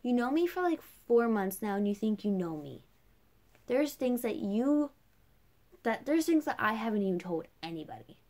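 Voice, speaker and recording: A woman's voice: a little high, very animated, moderate pace, a little mellow. A young woman vlogging in a casual, chatty way, rambling through a personal rant with lots of "like" as a filler. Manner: chatty, rambling, heavy use of "like".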